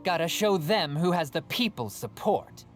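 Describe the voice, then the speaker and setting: An actress playing a wry, tough bazaar local who talks in a defiant way. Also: female voice, on the low side, lively intonation, moderate pace, faint music behind, lightly acted.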